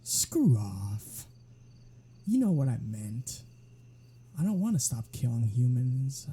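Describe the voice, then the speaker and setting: A performer voicing a teasing, unrepentant vampire in an ASMR roleplay audio drama, with a husky, breathy voice and a sardonic, casual manner. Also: mid-range voice, very animated, slow, full character voice. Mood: defensive, wry. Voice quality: husky, breathy, dark-toned. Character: teasing, unrepentant vampire predator travelling with human hunter.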